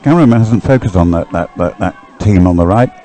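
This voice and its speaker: A man's voice: low-pitched, lively intonation, fairly quick, dark tone, soft background music. A man giving live sports commentary in a rambling, hesitant way, as if thinking aloud.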